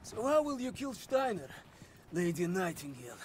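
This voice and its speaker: A man's voice: high-pitched, very animated, normal speed, slight background noise. A man playing a loyal, urgent Soviet resistance fighter in a video game cutscene, with a Russian accent. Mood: wary, teasing. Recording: loud music over dialogue.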